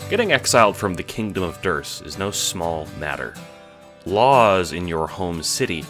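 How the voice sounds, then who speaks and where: A man with a deep voice narrates a scripted audio drama. His tone has a bright, forceful edge as he reads the story in the second person. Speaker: narrator and voice actor.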